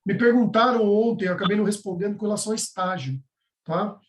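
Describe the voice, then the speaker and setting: A man's voice: sits high, fast talker, reverberant, noisy background. A man teaching a live online class, explaining step by step and checking in with his listeners. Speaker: course instructor.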